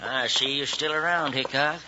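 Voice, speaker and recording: An actor playing a gruff, suspicious, curt ranch foreman in an old western radio drama. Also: medium pitch, normal speed, noisy background, close to an everyday voice. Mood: gruff, distrustful. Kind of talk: 1950s western radio acting. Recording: dull old radio recording.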